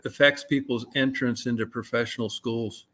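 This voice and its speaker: A man talks in a casual, offhand way, agreeing easily with the others. His voice has a middle pitch for a man. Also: fairly even intonation, moderate pace, crowd noise. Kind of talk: casual chat.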